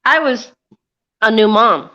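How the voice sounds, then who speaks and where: A woman's voice: on the high side, animated, slow pace, pushed and bright, lo-fi sound. A woman chatting casually as a guest on a stream panel. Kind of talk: casual chat.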